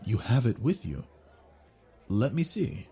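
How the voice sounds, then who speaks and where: A man voicing an obsessive yandere man on a blind date, talking with quiet, smooth charm in a scripted audio roleplay, close on the mic. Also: medium pitch, big pitch swings, takes it slowly, soft background music, some chatter behind, full character voice. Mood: flirty. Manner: quiet brooding inner monologue, then smooth flirty charm.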